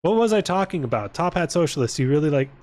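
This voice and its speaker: A man's voice: on the high side, big pitch swings, quick pace, darkish tone. A man chatting casually on a livestream, informal and sweary, often interrupting himself and drifting onto tangents. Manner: profane, informal, tangent-prone, self-interrupting. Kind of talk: casual rambling livestream chat.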